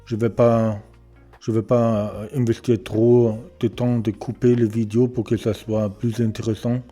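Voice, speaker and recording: A German man speaks French with a German accent, slow and hesitant, often stopping to search for words. His voice is dark, low and rather flat. Faint music plays softly behind him. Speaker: electronics repair beginner presenting his channel. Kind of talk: casual self-introduction and workshop tour. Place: home repair workshop.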